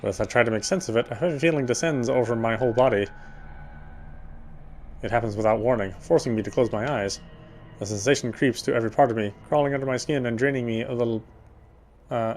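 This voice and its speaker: A man reading scripted first-person narration from a horror visual novel in a measured, literary manner. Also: fairly low pitch, a little mellow, a little hiss or hum. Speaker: player reading the narration aloud.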